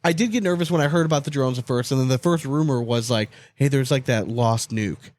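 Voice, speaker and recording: A North American man co-hosting a casual podcast, telling things in an animated, swear-happy way peppered with "like". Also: mid-range voice, brisk. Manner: profane, animated storytelling with frequent "like". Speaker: podcast co-host.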